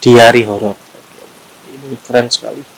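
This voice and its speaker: A man's voice: mid-range voice, fairly even intonation, moderate pace, slight background noise, low-quality recording. A man with a Javanese accent reading a horror story aloud unrehearsed, with small slips and restarts, then chatting casually to his listeners. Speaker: horror story reader and channel host.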